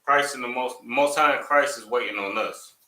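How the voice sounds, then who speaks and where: A man's voice: medium pitch, moderate pace, pushed and bright. Man instructor, loose and repetitive, turns emphatic, recorded from a distance in a classroom. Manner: loose and rambling, repeats "you know what I'm saying"; preachy, rising to emphatic lines. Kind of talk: opening remarks to a live class. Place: classroom, also streamed online. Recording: echoey room, mic at a distance.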